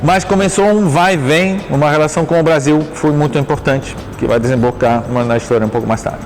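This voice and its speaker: A middle-aged man, a dance teacher, telling his life story in an interview in a thoughtful, halting way with frequent restarts and self-corrections. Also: on the high side, soft background music, rough recording.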